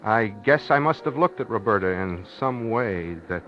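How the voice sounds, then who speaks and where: A man's voice: lowish voice, lively intonation, normal speed, natural voice. An actor playing a plainspoken, anxious, guilt-ridden man in a 1950s radio drama. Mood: wistful. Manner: plainspoken, folksy, wistful storytelling. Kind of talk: 1950s radio drama acting with first-person narration. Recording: muffled 1950s radio recording with music.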